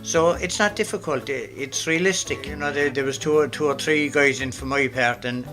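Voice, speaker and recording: A man's voice: mid-range voice, moderate pace. A man with an Irish accent giving conversational, reflective interview answers as a drama actor. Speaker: amateur radio drama actor being interviewed. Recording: music clearly behind.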